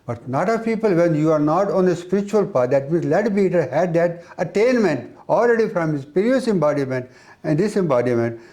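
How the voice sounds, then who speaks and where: Male spiritual teacher in interview, mellow and slightly high voice, halting explanations that circle back. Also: expressive. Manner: halting, repetitive phrasing.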